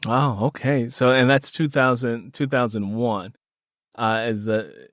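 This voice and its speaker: A man's voice: mid-range voice, fairly even intonation, unhurried, fairly bright tone, no room sound. Man hosting a casual interview, close dry sound. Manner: enthusiastic, repeats phrases for emphasis. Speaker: podcast host interviewing an author.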